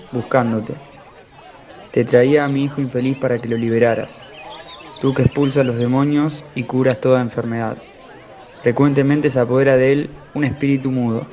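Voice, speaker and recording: A man acts out an anguished father in a biblical radio play, begging earnestly. His pitch stays fairly flat within a middle register. The sound is dull, with a faint crowd behind him. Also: natural voice. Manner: imploring, earnest. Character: anguished pleading father of a possessed boy. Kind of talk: religious radio drama acting.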